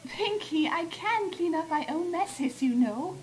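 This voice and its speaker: A woman's voice: very high-pitched, expressive, unhurried, a little mellow, soft background music, poor audio. A woman voicing a refined, good-natured but dismissive unicorn pony in a dramatized fan story, with genteel condescension. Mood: mildly protesting. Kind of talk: dramatized fan fiction reading.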